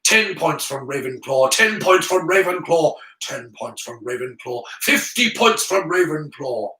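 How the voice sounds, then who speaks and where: A man voicing a cold, sneering, angry schoolteacher in an audiobook story, slow and full of contempt. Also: a little high, expressive, bright, forceful tone. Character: sneering, cold, angry adult male potions teacher. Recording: roomy echo.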